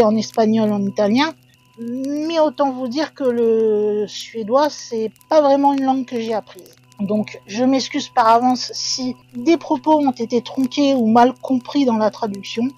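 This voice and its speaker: A woman narrates a ghost legend for her channel in a chatty, easygoing way, often turning aside to talk to her viewers. Her voice sits fairly high and sounds husky, and the recording is dull and lo-fi. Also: mellow. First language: French. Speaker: channel host. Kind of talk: casual storytelling narration.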